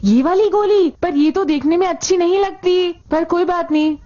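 A woman's voice: very high-pitched, moderate pace, warm tone. A woman voicing a timid little schoolboy in a Hindi cartoon dub, with a thin, whiny, eager delivery. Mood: eager, curious. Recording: dull TV cartoon audio with music.

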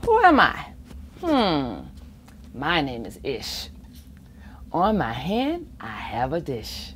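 A middle-aged woman reading a children's picture book aloud in an expressive sing-song way, with playful asides. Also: low-pitched, slow. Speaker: volunteer children's storybook reader. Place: school or public library children's corner, indoors. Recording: faint room ambience noise.

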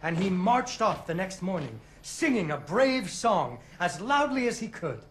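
A little girl telling a storybook tale, sing-song, bouncy pitch. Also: very animated, measured pace, slight background noise. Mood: playful. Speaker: game narrator. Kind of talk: storybook narration in a game.

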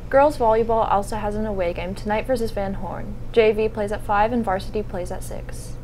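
A woman's voice: mid-range voice, fairly quick, a little mellow, slight background noise, rough recording. Teen girl school news anchor, upbeat, reads from a script at a studio desk. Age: teens. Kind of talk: school newscast.

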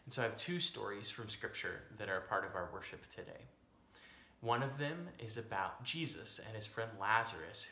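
A man's voice: medium pitch, pushed and bright. A young American man speaking as a pastor giving a children's sermon, with simple, reassuring phrasing. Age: young adult. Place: small home room, indoors. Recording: echoey room, faint background noise.

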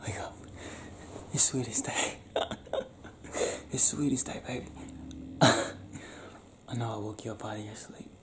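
A young man doing an improvised ASMR boyfriend roleplay, talking softly and affectionately in a breathy, teasing, near-whispered way. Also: mid-range voice, takes it slowly, pauses often. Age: young adult. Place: small bedroom, lying on a bed indoors. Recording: roomy sound with faint background noise.

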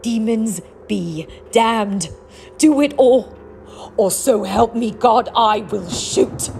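A woman's voice: on the high side, slow pace, gravelly, a little mellow, slight background noise. A woman playing a grieving, headstrong young woman with formal, pleading diction in a theatrical audio drama. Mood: desperate, defiant.

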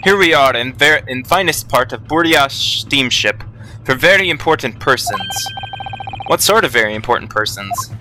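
A man's voice: medium pitch, expressive, moderate pace, sharp tone, slight background noise, poor audio. A man voicing a huge, grumpy male ship's crewman in a mock Russian accent, speaking in broken English. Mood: gruff.

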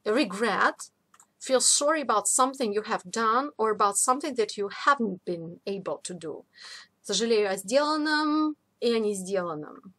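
A woman teaching a vocabulary lesson in an informal, explanatory way, repeating key words slowly for learners. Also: on the high side, animated, a bit bright. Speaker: English teacher. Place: voice-over of a screen recording.

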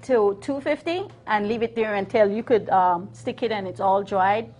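Halting woman demonstrating a recipe. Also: medium pitch, a little mellow. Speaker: guest cook demonstrating a recipe. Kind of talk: casual cooking demonstration. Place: TV kitchen studio set.

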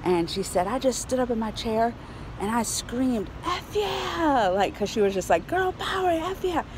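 A middle-aged woman giving a casual, excited review to camera in an animated, colloquial way. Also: fairly high pitch, normal speed. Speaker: vlogger reviewing a film. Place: outdoors on a high-rise terrace in a city. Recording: clear outdoor ambient city noise.

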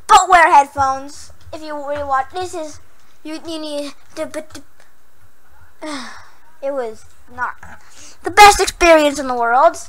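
Young girl gamer, hoarse and losing her voice, super high-pitched, yelps a lot. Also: a little slow, long pauses, poor audio. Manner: jumpy, yells and squeals when startled, then chatty. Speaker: gaming channel host. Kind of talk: Minecraft horror map let's play.

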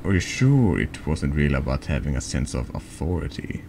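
Man, extremely low voice, lively intonation, halting storytelling read-aloud, horror text game narration. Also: slight background noise. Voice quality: deep voice. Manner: halting reading, stumbling over words. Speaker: streamer reading a text adventure game aloud.

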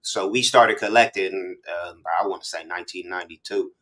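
A man's voice: deep voice, fairly quick, a bit bright. A middle-aged man with an American accent hosts a casual hip hop interview, speaking in slang and thinking aloud as he goes. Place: small room full of memorabilia, indoors, on a video call. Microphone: video call.

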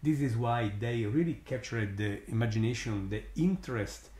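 A man with an Italian accent, his first language Italian, hosting a live astronomy stream and commenting slowly with many pauses and fillers, sounding amazed. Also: mid-range voice, lively intonation, a little mellow, reverberant. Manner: slow, halting, many fillers, awed.

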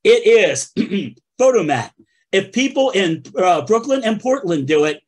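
A man's voice: high voice, sing-song, measured pace. A man on a video call with bandmates, chatting casually and making jokey announcer-style asides. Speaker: band member hosting a video call show. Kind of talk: casual joking chat.

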